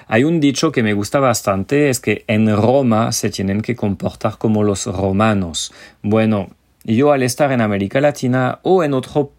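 A man's voice: fairly low pitch, normal speed, a little mellow. A man from France, a native French speaker with a French accent, giving informal advice straight to listeners as a language teacher and podcast host. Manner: informal, explanatory, addresses listeners directly.

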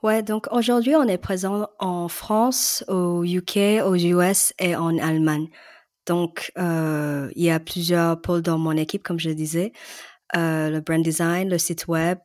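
A woman from Hong Kong with a fairly low voice answers podcast interview questions in a measured way, pausing often on fillers. The recording is dry and close, with no room sound. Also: fairly even intonation, a little mellow, dry recording. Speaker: guest, brand and content director.

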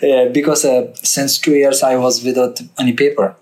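A young man from Afghanistan speaking halting, non-native English with many fillers and restarts, a guest telling his story in a casual interview. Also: medium pitch, level tone, darkish tone, rough recording. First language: not English. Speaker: guest, barber.

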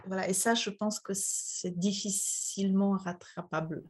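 Husky-voiced middle-aged woman, hesitant. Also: mid-range voice, fairly even intonation, a little slow, darkish tone. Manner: thoughtful, hesitant, many fillers. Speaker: interviewee, mother. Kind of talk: casual interview.

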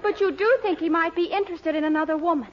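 An American actress playing a worried, bossy young daughter, earnest and dramatic in a 1940s radio sitcom. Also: female voice, very high-pitched, fast talker, very noisy. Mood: worried, suspicious. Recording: muffled 1940s radio recording, studio audience.

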